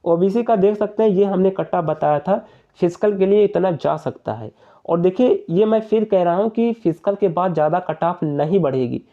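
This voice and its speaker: A man's voice: high-pitched, lively intonation, moderate pace, phone-quality sound. A young man, explaining informally to viewers in an earnest, repetitive, persuasive way. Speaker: exam-prep YouTuber.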